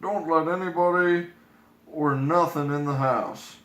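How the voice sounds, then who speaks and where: A man's voice: on the high side, steady tone, a little slow, fairly bright tone, reverberant, pitched above the performer's own voice. A man voicing a grumpy, distrustful storybook dwarf with a blunt, huffy delivery. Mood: grumbling. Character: grumpy, distrustful dwarf, gruff but caring.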